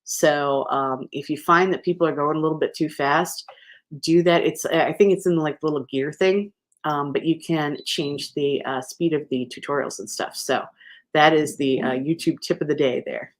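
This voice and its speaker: A woman's voice: sits low, steady tone, normal speed. A woman hosting a live crochet stream, chatting casually and rambling with many fillers, restarts and easy laughs.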